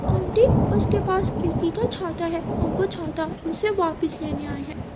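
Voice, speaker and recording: A woman's voice: very high voice, a little slow, very noisy, pitched above the performer's own voice. A woman voicing an earnest, courteous little boy bird in a children's cartoon story. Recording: loud rain and thunder behind.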